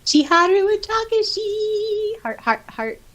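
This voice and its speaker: A woman's voice: very high voice, sing-song, takes it slowly, a bit bright, a little hiss or hum. A woman co-hosting a podcast in a casual chat, reading out listener comments and reacting with giggly enthusiasm. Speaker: podcast co-host.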